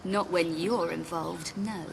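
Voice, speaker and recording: An actress playing a self-assured, sardonic British adventuress in a video game cutscene, speaking with a dry, taunting manner. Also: female voice, fairly low pitch, fairly even intonation, takes it slowly, vocal fry, background noise.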